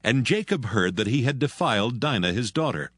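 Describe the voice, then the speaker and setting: A man narrating scripture in a measured, solemn way. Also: mid-range voice, expressive, a bit bright, no echo, clean studio sound. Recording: music bed behind voice.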